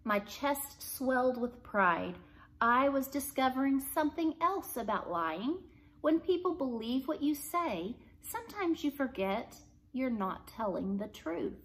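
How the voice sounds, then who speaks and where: A woman reading a story aloud in an expressive narrating style. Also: sits high, measured pace, poor audio. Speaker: storybook reader.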